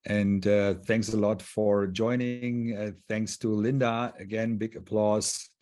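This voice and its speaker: A man moderating an online session over a video call, friendly and a little halting. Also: lowish voice, flat delivery, darkish tone.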